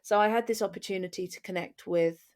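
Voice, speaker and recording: A woman's voice: mid-range voice, fast, a little mellow. A woman in her forties with an English accent, co-hosting a podcast and candidly telling her own story in a reflective way. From England. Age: 40s. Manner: reflective, candid, restarting phrases. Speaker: podcast co-host. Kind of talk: personal storytelling in conversation.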